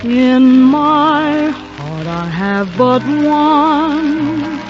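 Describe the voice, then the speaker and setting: A woman's voice: sits high, animated, extremely slow. A woman singing a sentimental 1940s ballad on an old radio broadcast, with music behind her. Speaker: singer. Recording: muffled 1940s radio recording, loud music. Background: musical accompaniment.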